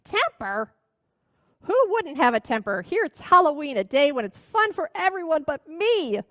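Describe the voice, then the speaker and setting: A woman voicing a bad-tempered old female witch who shrieks in a shrill, high voice. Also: sing-song, normal speed, a bit bright, dry recording, clean studio sound. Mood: self-pitying anger. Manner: shrieking, furious.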